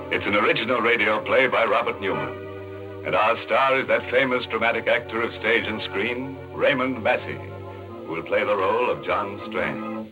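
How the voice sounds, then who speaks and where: A man with a very low voice hosts a vintage radio mystery, with music behind him. He speaks slyly and theatrically, with macabre playfulness. The sound is dull and old. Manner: ghoulishly playful, sly, theatrical. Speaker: host and narrator of a mystery show. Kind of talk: 1940s radio horror hosting, theatrical narration.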